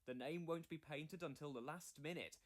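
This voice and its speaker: A man's voice: fairly high pitch, normal speed, lightly acted. A man voicing a genial, dignified railway chief engineer in a children's storybook, speaking courteously and formally. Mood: amused.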